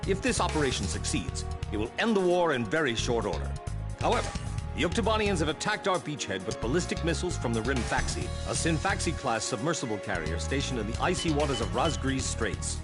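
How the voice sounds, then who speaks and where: An actor playing a self-important staff advisor, giving a formal military briefing in a video game. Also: male voice, mid-range voice, very animated, fairly quick, over music, natural voice. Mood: officious, urgent. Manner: formal, officious.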